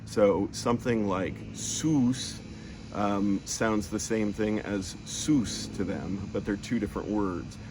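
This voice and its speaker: A man teaching a lesson, patiently explaining sounds and drawing out each one slowly as he demonstrates it. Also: fairly low pitch, noisy background. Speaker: language teacher.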